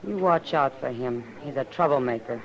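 A woman's voice: rumbling low, moderate pace, muffled recording. An actress playing an earnest, worried, protective frontier woman in a 1950s radio western drama. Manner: earnest, concerned.

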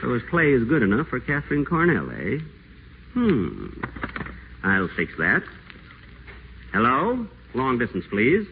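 A man's voice: mid-range voice, huge pitch swings, unhurried, long pauses. An actor playing an acerbic, scheming, pompous man in a wheelchair in a 1940s radio play, with a sardonic, theatrical delivery. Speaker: radio celebrity. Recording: tinny old radio broadcast, faint hiss.

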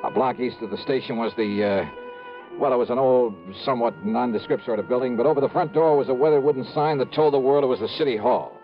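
Male actor as wry, tough-minded insurance investigator, mid-pitched, clipped radio-drama delivery on a dull old recording. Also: quick pace, soft background music, close to an everyday voice. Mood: brisk narrating. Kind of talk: 1950s radio drama acting and first-person narration.

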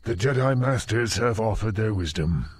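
Aged, wise master for audio drama: deep raspy voice, unhurried, solemn, admonishing. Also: male voice, lively intonation, no echo. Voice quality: husky, deep. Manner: measured, grave, admonishing. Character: wise, stern old male Jedi master.

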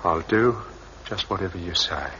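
A man's voice: low voice, background noise, lightly acted. An actor playing an awkward, intense young man with a dangerous streak in an old radio crime drama, on a muffled recording. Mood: shy, halting. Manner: halting, intense.

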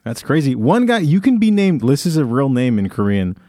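A man's voice: mid-range voice, sing-song, brisk, warm tone, slight background noise. A man hosting a podcast, reading from his phone in a casual, crude way with frequent laughter. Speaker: podcast host. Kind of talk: casual comedic chat.

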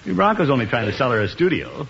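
An actor playing a jovial, blustering middle-aged uncle who chuckles and protests in 1950s radio comedy style. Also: male voice, mid-range voice, sing-song, quick pace, creaky, a bit bright. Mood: genial. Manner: chuckling, exclaiming, self-justifying. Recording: noisy, muffled 1950s radio recording.

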